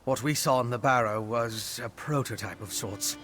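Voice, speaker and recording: An actor playing an earnest, inquisitive male investigator in a fantasy video game, speaking in a measured, articulate, studious way. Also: medium pitch, fairly bright tone, slight background noise, close to an everyday voice. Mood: serious, explanatory. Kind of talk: video game character dialogue. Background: game music.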